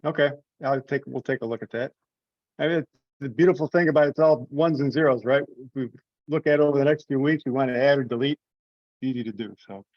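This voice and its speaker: A man's voice: mid-range voice, brisk, dry recording. A man casually leading a meeting discussion on a conference call. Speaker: meeting leader taking notes. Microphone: remote teleconference call. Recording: dull, dry conference call audio.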